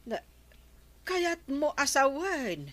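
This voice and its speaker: A woman's voice: high-pitched, sing-song, drawn-out words, exaggerated voice. A woman with an Ilocano accent playing a firm, questioning villager in a radio comedy drama. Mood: probing.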